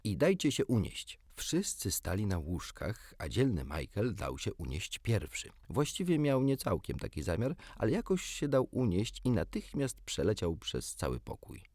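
Male narrator, low husky voice, animated children's storytelling, dry close sound. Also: moderate pace, hardly any pauses, dry recording. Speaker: audiobook narrator.

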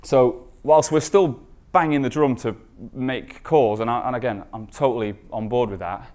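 A man's voice: medium pitch, moderate pace, darkish tone. Man giving a conference presentation. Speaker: conference speaker, recruitment marketing expert.

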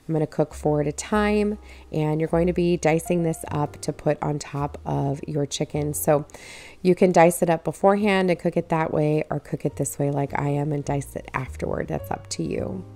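A woman narrating her home cooking video in a relaxed, step-by-step way, walking viewers through each recipe stage. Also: sits low, moderate pace, gravelly. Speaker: cooking channel host.

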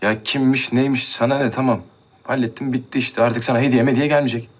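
A man's voice: medium pitch, fairly even intonation, fast talker, natural voice. An actor playing a stubborn, defensive young man in a TV drama, curt, colloquial and dismissive. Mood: irritated. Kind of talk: naturalistic TV drama acting.

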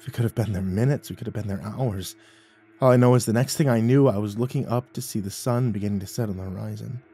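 A man narrating a first-person horror story in a tense, halting way with stammered restarts. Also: on the low side, mellow, breathy edge. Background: faint music.

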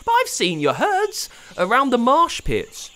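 A man's voice: high voice, sing-song, normal speed, a bit bright, voice pushed up. A man voicing an eager young boy hero who exclaims urgently.

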